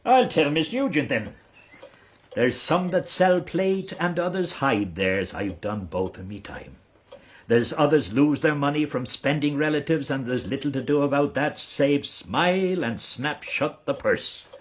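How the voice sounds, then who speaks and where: Roguish Irish knight, animated and theatrical. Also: male voice, a little high, a little slow. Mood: jaunty, cynical. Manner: theatrical, ingratiating, rhetorical flourishes. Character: roguish, fawning Irish knight and debt-dodging fixer. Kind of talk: radio period drama acting.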